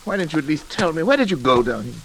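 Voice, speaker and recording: An actor playing a tormented middle-aged scientist, brooding and dramatic with hesitant pauses, in 1940s radio style. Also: male voice, fairly high pitch, very animated, creaky, fairly bright tone, a little hiss or hum, full character voice, poor audio. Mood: pleading, tender. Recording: old radio recording, dramatic music behind.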